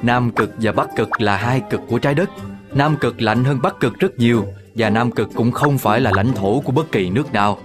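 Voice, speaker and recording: An excitable, knowledgeable dog father, acted by a man, talks in a medium-pitched voice. He explains things in a fatherly, children's cartoon manner. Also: brisk, music in the background, close to an everyday voice. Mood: explaining.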